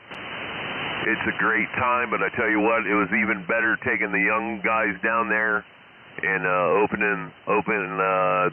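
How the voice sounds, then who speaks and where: A middle-aged American man talks casually over ham radio in a low voice. The transmission is phone-like and narrow, with a light hiss behind it. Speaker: amateur radio operator calling in.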